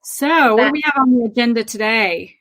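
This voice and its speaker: Brief: American woman co-hosting a chat podcast, thoughtful and unhurried, slightly higher voice. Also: animated, a bit bright. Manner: reflective, measured, with frequent ums. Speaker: podcast co-host.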